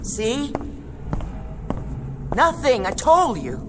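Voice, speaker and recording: An actor playing a firm, exasperated navy ship's doctor in a radio drama, insistent and no-nonsense. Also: male voice, very high voice, wildly swooping pitch, very slow, over music, heavily acted. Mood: exasperated, stern.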